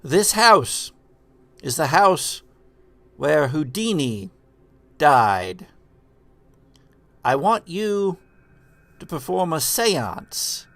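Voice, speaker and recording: A man performs a ghostly hologram host from a tabletop horror game. He is grave and grandiose, speaking very slowly in a fairly high male voice. Portentous pauses break up his lines, and the sound is dry and close. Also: very animated, gravelly, fairly bright tone, no echo, full character voice. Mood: solemn, commanding. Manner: slow, portentous, halting pauses. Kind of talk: old horror-movie monologue in a tabletop game.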